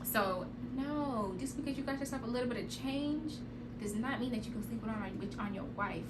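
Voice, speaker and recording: A woman's voice: fairly high pitch, normal speed. Emphatic woman in an echoey room. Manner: emphatic, repetitive, preachy. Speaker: podcast co-host. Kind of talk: casual podcast chat. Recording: echoey room with background noise.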